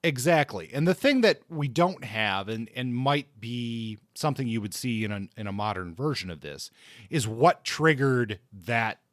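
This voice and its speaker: A man with a mid-pitched voice talks books as a podcast co-host. He speaks casually and works out his points aloud, rewording often. The sound is dry, with very little room in it. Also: expressive, normal speed, fairly bright tone, dry recording. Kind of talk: casual book discussion.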